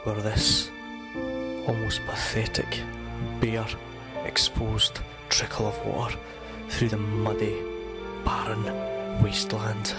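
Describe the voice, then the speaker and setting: Male voice-over, slow and flat, mock-grave documentary delivery over loud music. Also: fairly low pitch. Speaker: narrator.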